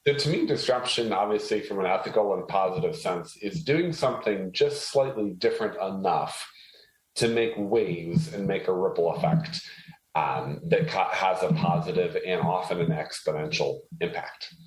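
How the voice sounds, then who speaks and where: A man from Haiti speaking as an interview guest, explaining things conversationally with measured self-corrections. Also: low voice. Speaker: interview guest, tech entrepreneur. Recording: roomy, echoey sound.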